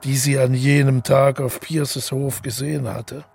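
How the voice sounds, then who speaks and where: Very husky, gravelly, solitary man. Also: medium pitch, level tone, a little slow, a little mellow, faint music behind. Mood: brooding, matter-of-fact. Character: solitary, weary male murderer, calmly unrepentant, recalling his deed. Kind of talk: literary radio drama monologue.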